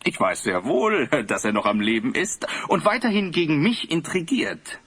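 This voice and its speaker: Casting: pompous, cruel, beauty-obsessed ruler, husky and swooping, for radio play. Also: male voice, on the high side, sing-song, moderate pace, fairly bright tone, full character voice. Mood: menacing. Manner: pompous, theatrical. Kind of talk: German audio drama acting.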